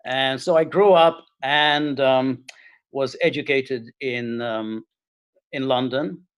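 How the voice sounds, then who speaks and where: A middle-aged man from Hungary, talking as a guest on a video call and speaking haltingly with many fillers and restarts. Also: medium pitch, clear, resonant tone, no echo. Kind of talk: casual interview talk.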